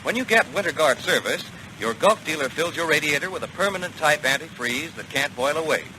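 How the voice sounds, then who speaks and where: A man's voice: on the high side, animated, brisk, pushed and bright. A man working as a commercial announcer, delivering a scripted 1940s radio advertisement. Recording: noisy 1940 radio broadcast.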